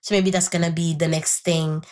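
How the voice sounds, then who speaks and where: A young adult woman from Haiti with a slightly low-pitched voice. She grew up speaking Haitian Creole. She talks as an interview guest, telling her own story in a thoughtful, candid way. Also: little pitch movement, moderate pace. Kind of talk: reflective personal storytelling in conversation.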